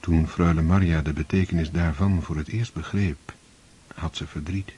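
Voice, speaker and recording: A man narrating a radio play in a steady, measured storytelling manner. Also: low voice, breathy edge. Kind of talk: scripted narration.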